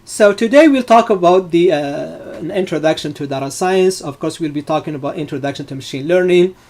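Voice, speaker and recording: A man's voice: a little high, fairly quick, darkish tone. Moroccan-born man lecturing with many fillers. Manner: many fillers, loose phrasing. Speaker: data science instructor. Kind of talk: live online lecture.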